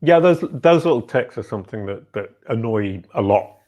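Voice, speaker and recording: Middle-aged man, interview host, excitable and interrupting, repeats words. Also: mid-range voice, moderate pace. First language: English. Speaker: podcast host. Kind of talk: casual interview chat.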